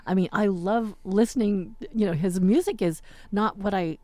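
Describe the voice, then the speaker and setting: A woman's voice: mid-range voice, normal speed, warm tone, dry recording. An American woman hosting a radio show, chatting casually and informally. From United States. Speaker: radio host. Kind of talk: casual interview chat. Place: radio studio.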